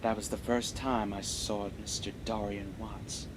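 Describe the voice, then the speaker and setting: A man playing a self-important amateur sleuth, dramatic and excitable in old-time radio mystery style. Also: fairly low pitch, unhurried, background noise, natural voice. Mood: brooding narration.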